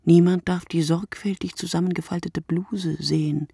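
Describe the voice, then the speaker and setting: Radio play: lonely, wakeful woman writer, contemplative and measured, soft dark tone, very dry close sound. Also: fairly low pitch, steady tone, gravelly, husky, no room sound. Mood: brooding, lonely. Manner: measured, contemplative, lyrical delivery. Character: sleepless woman writer brooding on night and loneliness. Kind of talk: literary radio play monologue.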